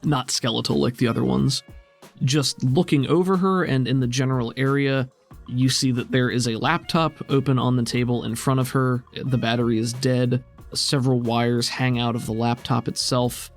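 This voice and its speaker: Male game master, mid-pitched, descriptive and conversational, faint music underneath. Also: steady tone, moderate pace. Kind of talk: narrating a tabletop role-playing game, conversational.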